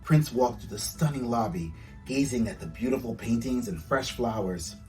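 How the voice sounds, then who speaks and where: A man reading his children's storybook aloud with expressive, storytelling delivery. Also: medium pitch, normal speed, far from the mic, soft background music, low-quality recording. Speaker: author reading own book aloud.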